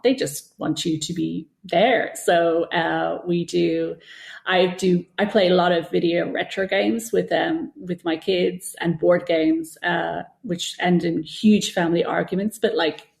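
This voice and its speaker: Middle-aged woman, low creaky voice. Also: a little mellow. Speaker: interview guest, novelist. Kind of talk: casual interview conversation.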